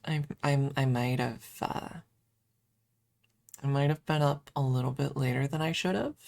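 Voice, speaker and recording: A woman streamer chatting casually in a soft, hesitant ASMR style with playful self-deprecation, close to the microphone. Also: a bass voice, fairly even intonation, normal speed, gravelly, dry recording. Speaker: ASMR livestreamer. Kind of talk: ASMR, casual rambling chat.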